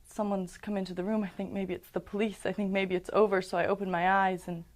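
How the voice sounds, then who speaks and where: A young woman in her late teens performing a dramatic monologue as a guilt-ridden, haunted teenage survivor, halting and intense. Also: medium pitch, flat delivery, lightly acted. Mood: fearful, remorseful.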